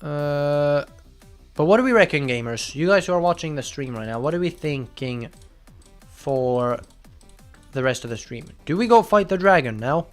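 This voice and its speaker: Excitable young man streaming. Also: a little high, moderate pace, faint music behind. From Finland. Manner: chatty, bursts into loud excited outbursts. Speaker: game streamer. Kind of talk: casual live gameplay commentary.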